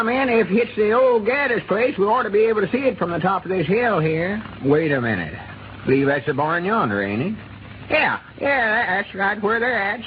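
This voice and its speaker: An actor playing a kindly old Arkansas country codger with a folksy rural Southern drawl in a 1930s radio drama. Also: male voice, high-pitched. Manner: folksy, plain-spoken rustic drawl. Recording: noisy, muffled 1930s radio recording.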